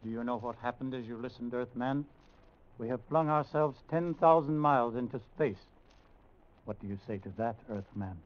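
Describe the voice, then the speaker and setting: An actor playing a domineering alien commander in an old radio drama, declaiming imperiously. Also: male voice, mid-range voice, moderate pace, lightly acted. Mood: boastful, imperious. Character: domineering alien commander taunting an Earth captive. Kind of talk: 1950s radio science fiction drama acting. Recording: noisy, dull old radio recording.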